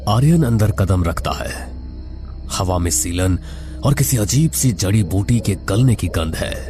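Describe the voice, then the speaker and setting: A man's voice: lowish voice, expressive, a little slow, over music. A man narrating a scripted horror story in a dramatic, suspenseful manner.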